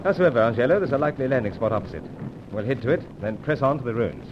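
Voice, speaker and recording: An actor playing a suave British secret agent with a British accent, clipped, dryly polite and unflappable, in a 1950s radio serial. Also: male voice, medium pitch, lively intonation, quick pace, lightly acted. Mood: composed. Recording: dull old radio recording with strong hiss.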